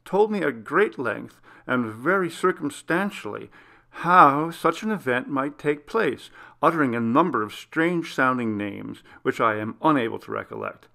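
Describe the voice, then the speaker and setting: Man, audiobook reader, medium pitch, noticeable vocal fry, measured formal literary narration. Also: animated, pushed and bright. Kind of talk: scripted narration of a classic Gothic story.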